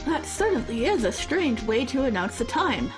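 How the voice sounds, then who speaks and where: Stern old courtroom judge for a video game, acted by a woman, formal and commanding, swinging pitch, music behind. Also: very animated, moderate pace. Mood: measured, questioning. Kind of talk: reading visual novel game dialogue aloud.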